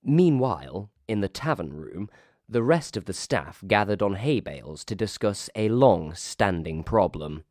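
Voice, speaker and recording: A man's voice: lowish voice, sing-song, a little slow, darkish tone, no room sound. A man reading a ghost story aloud as scripted narration, with a wry, dry comic delivery.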